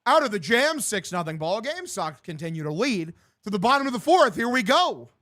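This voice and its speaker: A young man in his twenties with a distinctly high voice for a male. He streams baseball commentary mixed with casual chat, in loose, slangy language with plenty of swearing and a bro-like way of addressing people. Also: sing-song, fairly quick, pushed and bright. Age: 20s. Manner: profane, slangy, bro-like talk. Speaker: sports streamer calling a baseball game and answering chat.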